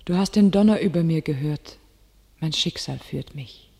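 An actress playing a fated young warrior maiden, solemn and resolute, in a classical verse radio drama. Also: female voice, sits low, normal speed, gravelly, darkish tone, slightly rough, full character voice. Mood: forsaken, resolute. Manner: solemn, fatalistic. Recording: long reverberation on the voice.

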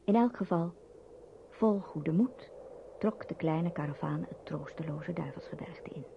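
A woman's voice: low voice, unhurried, creaky. A woman reading scripted narration for a radio play. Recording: muffled noisy old radio recording.

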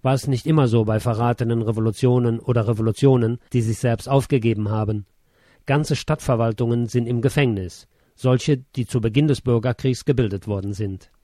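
A man narrates a first-person memoir in a measured, sober manner, pitched squarely in the middle of the male range. He reads close to the microphone, and the recording is dry, with no room sound at all. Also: steady tone, warm tone, dry recording.

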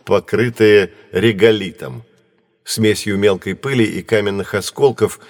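A knowledgeable man guiding children through astronomy in an audio drama. His voice sits fairly low and is recorded very dry. He explains things patiently, in measured, reassuring phrases. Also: darkish tone, dry recording. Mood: explanatory, patient. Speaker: teacher-guide in educational audio drama.

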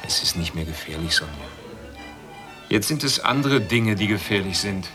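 An actor playing a restless, sardonic ex-prisoner in a naturalistic radio drama, with a dry, blunt manner. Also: male voice, on the low side, lively intonation, moderate pace, over music, close to an everyday voice. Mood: subdued, bitter. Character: restless, sardonic East German ex-prisoner and dreamer.

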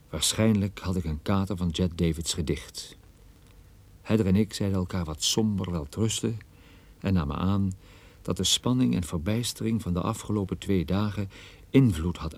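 An actor playing a wry, gloomy male private detective, narrating a radio play in a dry, ironic, measured way. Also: low voice, warm tone.

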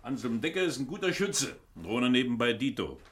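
An actor playing a wry, chatty captive companion in a radio crime drama, talking in a jaunty way. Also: male voice, medium pitch, very animated, a little fast, slightly rough. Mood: wry, resigned. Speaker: supporting actor. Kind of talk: 1970s German radio crime drama acting.

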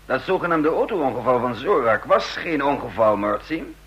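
Cool authoritative spy in a radio play. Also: male voice, medium pitch, very animated, moderate pace, fairly bright tone, husky, muffled recording. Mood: stern, reproachful. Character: male undercover spy in office, cool and authoritative. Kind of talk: Dutch radio play acting. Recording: hissy old radio drama recording.

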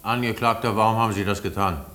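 A man acting a stern courtroom judge in a radio drama. The voice is deep and authoritative, formal and dryly lecturing. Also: steady tone, fast talker, a bit bright, soft background music, close to an everyday voice. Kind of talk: German radio play acting.

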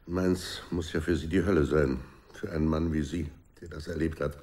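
An older companion full of doubtful questions, with a low pitch. He sounds a little set back from the microphone and keeps a probing tone in a radio-drama scene. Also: fairly even intonation, normal speed, darkish tone.

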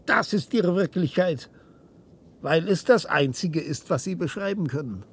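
Husky, obsessive, commanding scientist. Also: male voice, fairly high pitch, expressive, a little slow, exaggerated voice. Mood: intense, triumphant. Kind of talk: radio drama acting.

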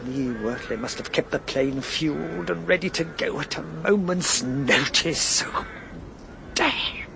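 An actor playing a flustered, dejected official in a radio drama. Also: male voice, fairly high pitch, a little slow, vocal fry, noisy background.

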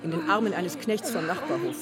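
A woman playing a harried, stern widowed mother of unruly children in a radio drama, sharp and exasperated. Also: mid-range voice, very animated, brisk, distant mic, close to an everyday voice. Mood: exasperated reporting. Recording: roomy, off-mic, music behind.

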